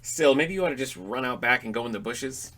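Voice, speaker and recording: A man's voice: mid-range voice, fairly quick, a bit bright, lightly acted. An actor playing a wry, sarcastic, slightly uneasy middle-aged father in an audio drama comedy. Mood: grumbling, impatient. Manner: dry, sarcastic. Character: wry, grumbling, uneasy father exploring an inherited house.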